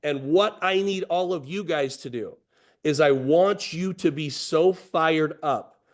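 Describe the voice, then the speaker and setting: A man giving an excited, motivational address to a sales team, his voice hoarse from talking, repeating phrases and using many fillers. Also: on the high side, takes it slowly. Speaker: company executive.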